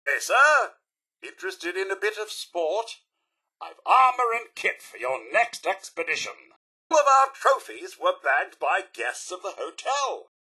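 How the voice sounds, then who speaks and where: A high-pitched, raspy British robot butler for a video game. He is fussy and formal, swooping theatrically through his sentences with a servant's prim courtesy and dry resentment. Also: bright, forceful tone, full character voice, rough recording. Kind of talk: video game character voice lines.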